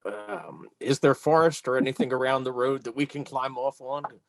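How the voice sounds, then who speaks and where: A man's voice: mid-range voice, little pitch movement, moderate pace, crowd noise. A man talking casually as a player during a tabletop role-playing game.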